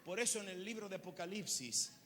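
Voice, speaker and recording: A pastor in his fifties preaching a sermon to a congregation, throwing questions at them and swinging from loud bursts to quiet, low lines. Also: expressive, fairly quick. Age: 50s. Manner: preaching with call-and-response questions, swinging from shouts to low tones. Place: large church hall. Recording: church hall reverb, faint noise.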